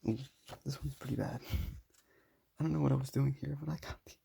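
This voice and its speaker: A young man with a raspy voice, talking casually and modestly while showing his sketches. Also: mid-range voice, steady tone, brisk, slight background noise. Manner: soft-spoken, self-deprecating, rambling.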